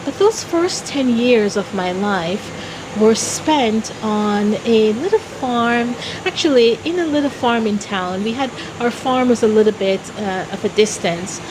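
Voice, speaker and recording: A woman from Jamaica telling personal stories as an interview guest, in a deliberate, expressive way. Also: a little high, background noise. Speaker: interview guest, founder of a philanthropy organization.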